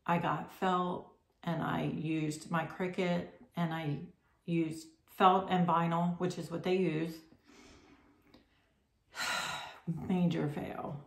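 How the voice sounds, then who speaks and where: A woman's voice: fairly low pitch, flat delivery, measured pace, gravelly. A middle-aged woman hosting a crochet podcast, chatting to the camera at home in a rambling way, full of ums and self-corrections. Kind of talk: casual chat to camera. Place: home room with red striped wallpaper, indoors. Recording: roomy echo.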